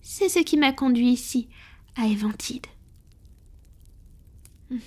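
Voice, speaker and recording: Femme jouant une vampire séculaire à voix haute et soufflée. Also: female voice, a little high, lively intonation. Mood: calm, proud reminiscing. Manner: soft, breathy, confiding, measured. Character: centuries-old female vampire, powerful, tender, confiding, melancholic. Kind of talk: scripted intimate roleplay audio, soft-spoken with whispering.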